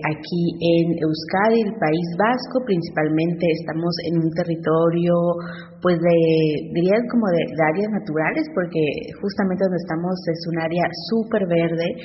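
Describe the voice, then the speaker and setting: Woman field reporter, chatty and rambling, echoey dull sound with a bit of noise behind. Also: fairly low pitch. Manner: loose, rambling with fillers. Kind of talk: on-location report. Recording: muffled with long reverb and faint noise.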